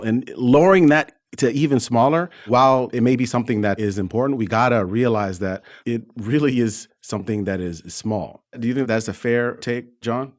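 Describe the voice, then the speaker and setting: A man's voice: mid-range voice, dry recording, studio quality. A man hosting an interview podcast, speaking conversationally to his guests. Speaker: podcast host, doctor.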